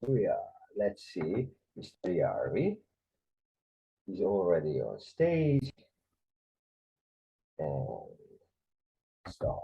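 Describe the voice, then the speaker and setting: A man with a Hungarian accent teaches conducting in an animated, explanatory way, counting beats and voicing the rhythms. His pitch swings widely as he talks. The recording sounds somewhat dull and lo-fi. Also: very slow, vocal fry, pauses often. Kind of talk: explanatory music lesson.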